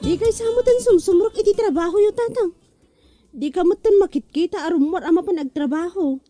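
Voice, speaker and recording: Very high-pitched Ilocano child, voiced by a woman. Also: a little fast, warm tone, faint music behind. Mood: puzzled, chiding. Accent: Ilocano, northern Philippines. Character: puzzled young child questioning the lazy father. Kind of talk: Ilocano comedy radio drama acting.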